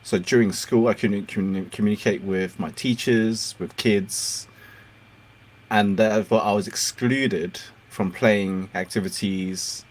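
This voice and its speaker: A man's voice: fairly low pitch, steady tone, unhurried. A man whose first language is Cantonese, hosting a casual interview, encouraging and laughing often. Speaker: podcast host.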